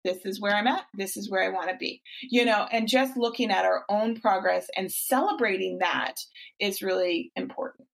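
A woman's voice: medium pitch, moderate pace, creaky. A woman in her forties hosting a podcast as a fitness coach, talking in a casual, encouraging way with frequent restarts. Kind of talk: casual interview chat.

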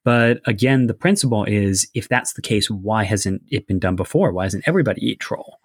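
A man's voice: on the low side, fairly quick, husky, no room sound. Man explaining lore, dry close sound. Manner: rambling, filler-heavy, explanatory. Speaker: game designer discussing a setting. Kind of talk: casual discussion.

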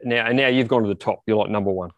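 Low-pitched man, hesitant podcast co-host. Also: very fast, fairly bright tone, no echo, lo-fi sound. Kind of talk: casual interview chat.

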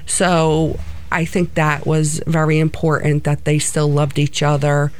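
A woman's voice: low voice, completely flat delivery, a little slow. A middle-aged woman from New Jersey with a New Jersey accent, answering interview questions in an earnest way. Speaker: guest, co-owner of flight center.

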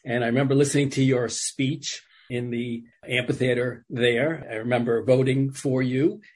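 A man's voice: medium pitch, fairly even intonation, moderate pace, darkish tone, breathy edge. A man hosting an interview, asking questions in a friendly, reminiscing way. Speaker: host, interviewer. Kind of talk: conversational interview.

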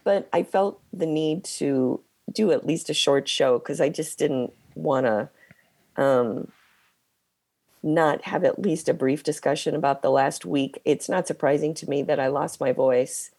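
Hoarse woman with a strained voice. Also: sits low, darkish tone, no room sound. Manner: halting, hesitant with many pauses. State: hoarse, losing her voice. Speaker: podcast co-host. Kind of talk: casual conversation.

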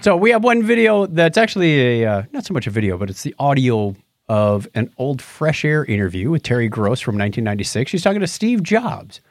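A man's voice: mid-range voice, big pitch swings, brisk, hardly any pauses, no room sound, soft background music. Man chatting casually, dry close sound. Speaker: podcast co-host. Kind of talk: casual conversational chat and commentary.

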